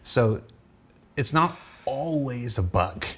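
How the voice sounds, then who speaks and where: A man hosting an unscripted live show, speaking in a halting way with emphatic repetition. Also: mid-range voice, lively intonation. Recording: noisy streamed show audio.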